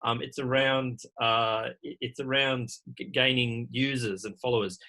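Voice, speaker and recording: Male event host for online Q&A: strongly bright voice, courteous, informal delivery. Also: mid-range voice, fairly even intonation, measured pace. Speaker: event host reading audience questions. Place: online video call.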